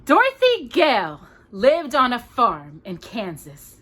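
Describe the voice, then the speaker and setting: Woman, children's storyteller, high pitch, swooping intonation, dramatic, drawn-out key words. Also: huge pitch swings, fairly bright tone. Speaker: storyteller, mother reading to her daughter. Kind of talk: children's storytelling with bits of song.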